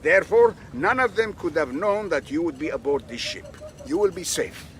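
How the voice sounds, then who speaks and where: A man's voice: a little high, animated, close to an everyday voice. Actor as a formal, reassuring male colonel. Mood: assured, formal. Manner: measured, formal, authoritative. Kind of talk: radio drama acting. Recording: clear ship and outdoor noise.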